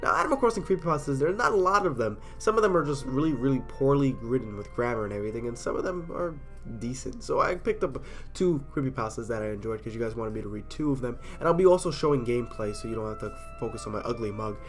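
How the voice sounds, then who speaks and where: A man's voice: mid-range voice, lively intonation, fast, soft background music. A man with a stuffy cold, casually reading horror stories aloud in a chatty, self-deprecating way and sometimes stumbling over words. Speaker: storyteller.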